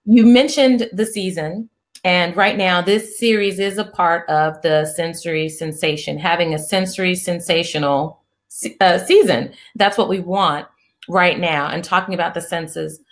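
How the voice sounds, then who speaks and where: A middle-aged woman interviewer with a lower, soft voice. She talks in a gentle, soothing way and often restarts her sentences. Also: unhurried. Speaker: interview host, psychiatrist. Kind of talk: casual interview chat.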